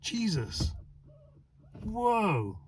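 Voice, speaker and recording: A man's voice: sits high, drawn-out words, slight background noise. A man in casual vlog chat outside at night, testing van lights, exclaiming and repeating himself as he talks. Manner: excitable, exclaims and laughs, repeats words.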